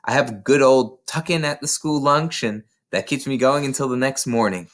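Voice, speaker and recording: A man voicing a gentle, earnest woman schoolteacher in a children's storybook. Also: mid-range voice, moderate pace, voice pushed up, lightly acted. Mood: hospitable.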